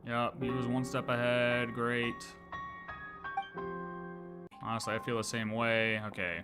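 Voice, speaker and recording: A game streamer reacting casually on a livestream, talking in a halting, argumentative mutter. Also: mid-range voice, little pitch movement, over music.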